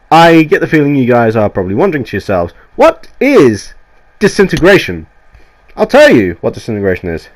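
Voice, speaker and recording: Man, nasal from a cold, mid pitch, rambling enthusiastic game reviewer voiceover. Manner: rambling, sweary, enthusiastic, restarts often. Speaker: gaming YouTuber. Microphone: voiceover mic.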